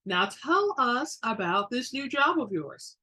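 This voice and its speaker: A woman's voice: on the high side, big pitch swings, takes it slowly, sharp tone, husky, pitched above the performer's own voice, exaggerated voice. A woman voicing a protective old great-grandmother, doting but snappish, in a dramatic story reading. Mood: brisk.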